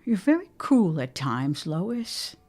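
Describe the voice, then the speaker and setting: A woman's voice: on the low side, big pitch swings, slow pace, darkish tone, full character voice. An actress playing a stubborn, sentimental middle-aged widowed mother in a period audio drama, theatrical and insistent with old-fashioned phrasing. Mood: wounded, defiant.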